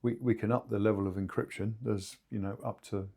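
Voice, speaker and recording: A man with a fairly low voice speaks as an expert co-host in a casual business discussion. He phrases things informally and haltingly, as unscripted conversation. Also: steady tone, vocal fry, mellow, slightly rough. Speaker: podcast co-host and two-way radio expert.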